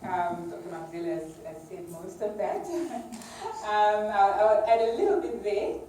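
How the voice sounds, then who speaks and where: A woman's voice: medium pitch, a little slow, mellow. Female researcher from Uganda, semi-formal lecture delivery with some hesitant repeats; very echoey, noisy recording. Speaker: academic researcher giving an award lecture. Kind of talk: public lecture, semi-informal. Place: large echoey lecture room. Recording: distant mic, very echoey hall, clear background noise.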